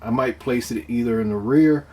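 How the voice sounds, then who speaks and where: A man giving a casual, hands-on demonstration of his own DIY project, talking in a halting, unscripted way as he thinks aloud, with some noise in the recording. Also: mid-range voice, darkish tone. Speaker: DIY speaker builder presenting own project.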